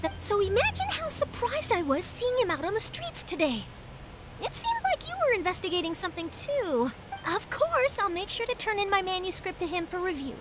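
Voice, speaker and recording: A woman playing a spirited, inquisitive young journalist in an anime video game, expressive, breezy and earnest. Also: very high voice, brisk, background noise.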